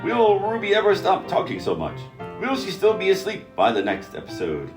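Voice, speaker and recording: A man's voice: high voice, huge pitch swings, moderate pace, reverberant, over music. A man playing a melodramatic old-time radio serial announcer delivering cliffhanger narration in a comedy show.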